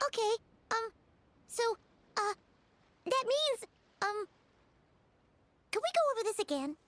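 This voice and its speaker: A woman voicing a tiny childlike fairy companion in a game, squeaky, excitable and easily flustered. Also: slow, no room sound, heavily acted. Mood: nervous, flustered. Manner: flustered, exclaiming, refers to self by name. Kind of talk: animated game character acting. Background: background music.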